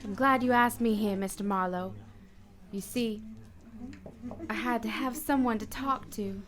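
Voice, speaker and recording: An actress playing a sentimental, distressed wife pleading with a detective in a melodramatic radio play. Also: female voice, fairly high pitch, measured pace, lightly acted. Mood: earnest, needy. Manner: pleading, dramatic repetition. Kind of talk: melodramatic radio drama acting.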